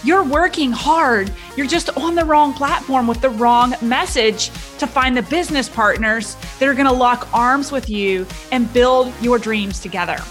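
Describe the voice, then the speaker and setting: A woman reading an upbeat promotional pitch aimed straight at the listener. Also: a little slow, hardly any pauses, fairly bright tone, soft background music. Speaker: podcast host reading a promo. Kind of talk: promotional ad read.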